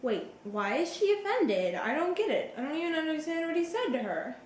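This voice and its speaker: A woman's voice: high-pitched, sing-song, brisk. A woman playing a curious, blunt younger sibling who reacts to a play in casual, informal chat. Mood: puzzled. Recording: roomy echo, faint background noise.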